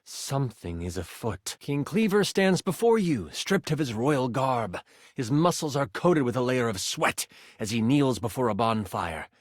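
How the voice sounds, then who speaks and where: A game master telling a fantasy quest in a video game. His delivery is theatrical and suspenseful with playful asides, in a very lively pitch and a dry, close recording. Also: unhurried, no room sound. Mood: dramatic, suspenseful. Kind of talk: theatrical tabletop fantasy narration.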